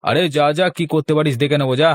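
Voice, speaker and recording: A man's voice: fairly high pitch, fairly even intonation, fast, dry recording, lightly acted. Man playing a grumbling cartoon miser, very dry sound. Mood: dismissive, argumentative. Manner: scolding, argumentative, sarcastic. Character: stingy, grumbling married man who loves free feasts. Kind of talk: Bengali cartoon voice acting.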